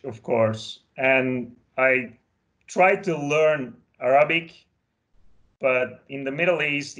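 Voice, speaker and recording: A man's voice: medium pitch, a bit bright. A man in his thirties from Bulgaria, whose first language is Turkish, speaking carefully as a guest on a video call and demonstrating equipment from his home office. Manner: careful, explanatory, handles equipment while talking. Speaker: product specialist guest. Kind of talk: technical demo and interview talk. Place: small home office, indoors. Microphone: webcam on video call.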